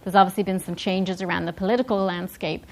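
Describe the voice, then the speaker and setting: A woman's voice: fairly low pitch, steady tone, fast. A woman moderating a business panel, upbeat and conversational. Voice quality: upbeat and conversational, tag questions. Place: conference hall.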